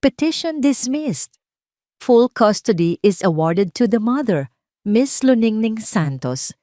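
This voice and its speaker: A Filipino woman playing a formal courtroom judge in an audio story, official and measured. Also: fairly high pitch, sing-song, dark tone, dry recording, studio quality, voice pushed up. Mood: formal, firm. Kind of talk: audio story character acting.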